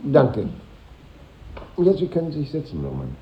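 An actor playing a formal schoolmaster who gently lectures his class in a 1950s radio play, with a didactic manner. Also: medium pitch, wildly swooping pitch, unhurried. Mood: schoolmasterly, dry. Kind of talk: 1950s radio drama acting. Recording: muffled echoey old radio recording.

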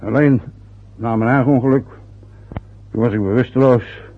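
A man's voice: mid-range voice, steady tone, normal speed, lightly acted. An actor playing a self-important, boastful older father who grumbles and rambles in a radio drama. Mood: boastful, wistful. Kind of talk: radio drama acting, long rambling monologues. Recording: dull, lo-fi old radio play recording.

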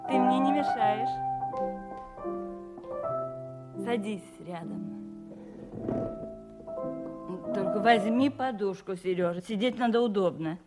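A woman's voice: medium pitch, moderate pace, lightly acted. Woman playing a patient mother over music. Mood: gentle, encouraging. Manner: patient, instructive, affectionate. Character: patient, gentle mother teaching her young son piano. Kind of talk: 1970s radio play acting.

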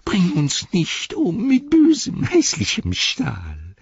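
A man's voice: sits high, huge pitch swings, slow, creaky, slightly rough, no room sound, muffled recording, voice pushed up, exaggerated voice. A man voicing a wretched, greedy creature who whines, pleads and hisses in a storybook reading. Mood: whimpering, pleading. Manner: whining, pleading, hissing. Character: wretched, emaciated, greedy creature, whimpering and cringing.

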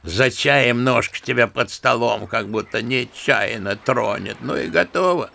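A man's voice: mid-range voice, measured pace, vocal fry, bright, forceful tone, exaggerated voice. An actor playing an embittered, talkative old grandfather in an audio drama of a literary story, telling things theatrically and savouring his words. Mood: wistful, sardonic. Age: older.

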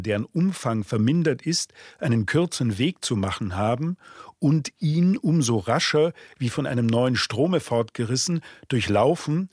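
Man, formal literary reader, rhetorical delivery, husky tone, dry studio recording. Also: mid-range voice, dry recording.

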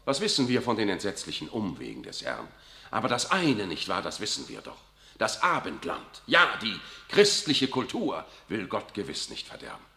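A man's voice: on the low side, wildly swooping pitch, normal speed, gravelly, pushed and bright, full character voice. An actor playing a worldly older cardinal in a 1960s German radio drama, unctuous and evasive, forever tagging "isn't it" onto his sentences. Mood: sly. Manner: unctuous, keeps asking "nicht wahr".

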